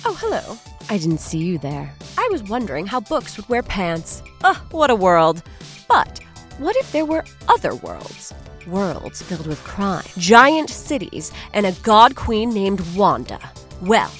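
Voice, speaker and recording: A woman's voice: mid-range voice, huge pitch swings, measured pace, a bit bright. A woman presenting a podcast with a theatrical, quirky, comic introduction. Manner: playful, mock-dramatic, quirky. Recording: music bed behind.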